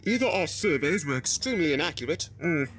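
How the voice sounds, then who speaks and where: Hesitant, higher-voiced nervous underling, acted by a man. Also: sing-song, fast, a bit bright, slight background noise. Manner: hesitant, stammering. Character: nervous, deferential male military subordinate. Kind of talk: audio drama acting.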